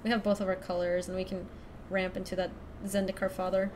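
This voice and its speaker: A young woman from Ecuador chatting casually through a card game stream, thinking out loud and laughing often. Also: mid-range voice, little pitch movement, a little fast, a little hiss or hum. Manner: chatty, giggly, thinks aloud to chat. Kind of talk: casual live-stream commentary.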